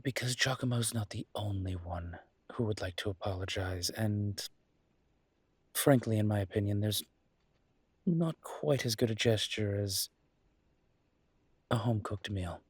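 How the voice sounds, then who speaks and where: Man playing a low, husky, charming young mafia boss. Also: a little slow, long pauses, no room sound. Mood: teasing, persuasive. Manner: playful, smooth-talking, teasing. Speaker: voice actor in a roleplay audio. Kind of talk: intimate audio roleplay acting.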